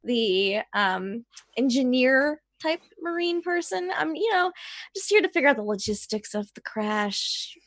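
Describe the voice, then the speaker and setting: A woman introduces herself as a player in a tabletop game. Her voice is very high and recorded dry. She talks casually, with a perky, self-deprecating way about her. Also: expressive, measured pace, a bit bright, no room sound. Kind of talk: casual chat.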